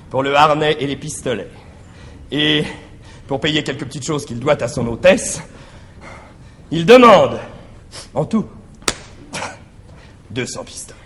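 An actor playing a wily, cajoling manservant, swinging between confiding murmurs and exclamations in a theatrical stage farce. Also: male voice, fairly high pitch, fairly bright tone. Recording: slightly noisy 1961 theatre recording.

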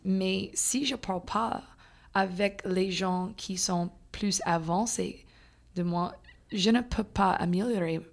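A young woman from Louisiana whose first language is English, a French teacher talking casually as a podcast guest, halting and full of fillers, slipping in English words. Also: medium pitch, creaky. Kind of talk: casual conversation.

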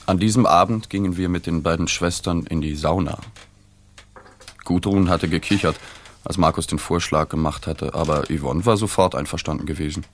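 A reserved, observant journalist in a literary radio play. His voice is low, and he speaks plainly and with restraint, measured and awkwardly sympathetic. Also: slight background noise. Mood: reflective. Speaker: narrator. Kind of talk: literary radio drama narration.

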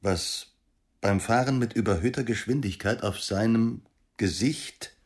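An actor playing a matter-of-fact narrator in a literary radio drama. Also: male voice, fairly low pitch, unhurried, natural voice.

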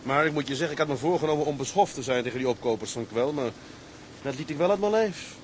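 An actor playing a friendly, loyal ship's mate in a drama, colloquial and plain-spoken. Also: male voice, mid-range voice, lively intonation, fast, slight background noise, natural voice. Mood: thoughtful. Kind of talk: dramatic dialogue.

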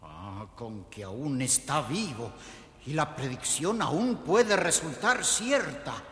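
Give a scheming, irritable storybook king. His voice sits fairly high and has a bright, forceful edge. He is cruel and theatrical, as in a children's record. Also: animated, slow, slight background noise. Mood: sly, plotting.

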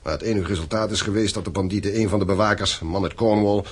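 A man's voice: on the low side, fairly even intonation, fast, slight background noise, lightly acted. An actor in a radio play playing a stern, domineering middle-aged father who owns a mine, commanding and reproachful. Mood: stern, businesslike. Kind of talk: Dutch radio play acting.

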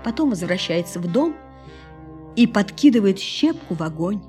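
A woman's voice: mid-range voice, very animated, normal speed, mellow, faint music behind, natural voice. A woman giving a dramatic, poetic narration in a radio play.

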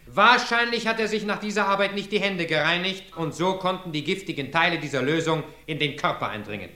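An actor playing a sharp, determined defense attorney in a 1950s radio drama, talking urgently and insistently. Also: male voice, fairly high pitch, lively intonation, brisk. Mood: formal, triumphant. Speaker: defense lawyer. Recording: roomy old radio play recording.

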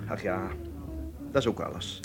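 Radio play leading man: lowish voice, guarded, dry, clipped phrasing, naturalistic. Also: normal speed, creaky, loud music behind the voice. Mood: guarded, wary. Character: guarded, intimate suitor, abrupt and wary. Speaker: actor in a radio drama.

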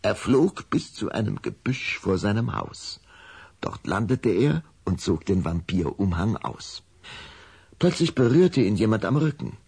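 A man's voice: on the low side, sing-song, a little slow, gravelly, darkish tone, husky. A man narrating a children's radio play as a scripted storyteller.

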